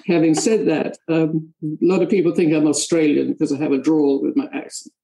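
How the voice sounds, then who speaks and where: An older woman with an English accent, a charity board member answering interview questions in a halting, rambling way. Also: deep voice, steady tone, vocal fry, dark tone, poor audio. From England. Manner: halting, rambling with many restarts.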